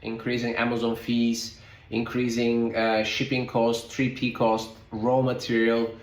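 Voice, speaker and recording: A man's voice: medium pitch, completely flat delivery, unhurried, reverberant. A man from Turkey, a guest in an interview, answering in loose phrasing with many "uh" fillers. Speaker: guest, logistics company founder.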